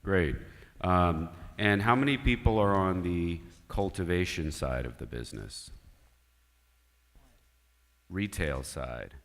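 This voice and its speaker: A man with a low voice leads a panel discussion at a conference. He talks in a measured way and keeps asking the audience and panelists questions. His voice rings out with the long reverb of a large hall. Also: expressive, a bit bright. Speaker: panel moderator.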